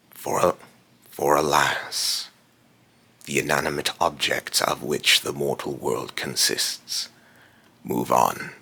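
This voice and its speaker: A man voicing an ominous immortal being in a theatrical villain monologue, grave and condescending, with solemn, grandiose phrasing and a gravelly, breathy rasp. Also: very deep voice, bright, forceful tone, full character voice. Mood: grave, foreboding. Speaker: voice actor.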